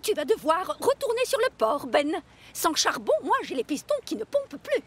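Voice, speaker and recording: A woman's voice: very high voice, sing-song, normal speed, exaggerated voice. A woman voicing a bossy, fretful steam shovel in a French cartoon dub, exasperated and dramatic. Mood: worried, insistent. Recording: music behind the voice.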